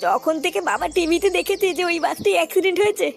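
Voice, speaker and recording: Squeaky, creaky cartoon boy. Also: expressive, quick pace, heavily acted. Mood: worried. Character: excitable cartoon son, easily startled, cheeky. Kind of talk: comic cartoon dialogue. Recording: faint music behind the voice.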